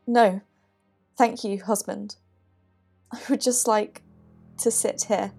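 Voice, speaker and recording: Lady acting a cool regal french queen, hurt and proud, breathy voice, faint music behind. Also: medium pitch, steady tone, slow, darkish tone, heavily acted. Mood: subdued. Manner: formal, regal. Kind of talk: period audio drama acting.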